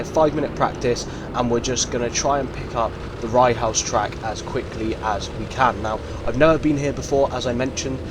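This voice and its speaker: Give me a young guy with a croaky, hoarse voice, talking through his race laps in careful detail. His pitch sits mid-range, and loud engine noise from the karts runs under everything he says. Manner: earnest, detailed play-by-play of his own driving. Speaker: karting vlogger. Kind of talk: voice-over commentary on race footage. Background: kart engines and vehicle noise.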